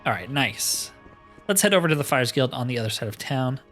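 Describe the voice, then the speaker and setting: A man's voice: medium pitch, expressive, fast, fairly bright tone, soft background music. A man hosting a let's play with casual, laid-back, rambling commentary. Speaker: host of a gaming series.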